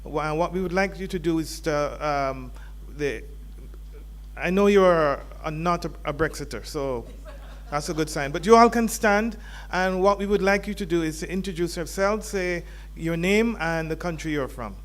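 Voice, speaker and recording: A man's voice: a little high, moderate pace. A man giving a welcome address to students as faculty dean, informal and joking with the audience in a lecture hall. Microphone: handheld microphone.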